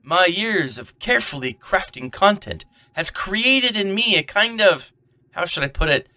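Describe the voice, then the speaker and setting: A man's voice: sits high, very animated, measured pace, pushed and bright, exaggerated voice. A man acting a pompous, fussy storyteller newcomer in an amateur comedy radio drama, wordy and grandiose.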